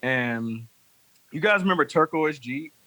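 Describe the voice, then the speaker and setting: Bright-voiced young man rambling on a podcast. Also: on the high side, a little slow, dry recording, poor audio. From North Carolina. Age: 20s. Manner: rambling, heavy use of "like", animated storytelling. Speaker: podcast guest, music manager. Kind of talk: casual chat.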